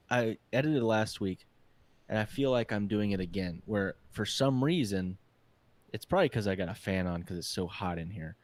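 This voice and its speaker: A man's voice: lowish voice, no echo. A man co-hosting a casual podcast, a little congested, chatting and joking easily. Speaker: podcast co-host. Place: hot room with a fan.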